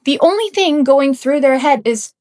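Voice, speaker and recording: A woman's voice: high-pitched, animated, normal speed, a little mellow. A young woman hosting her podcast, ranting bluntly and without a script, her voice a little off from a cold. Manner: emphatic and blunt, with occasional laughs. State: slightly congested from a cold. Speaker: podcast host. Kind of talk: unscripted ranting commentary.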